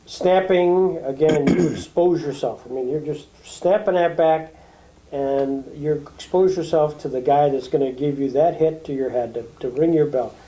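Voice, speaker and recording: A man's voice: a little high, moderate pace, mellow, rough recording. A man interviewing a former football player, asking long, conversational questions.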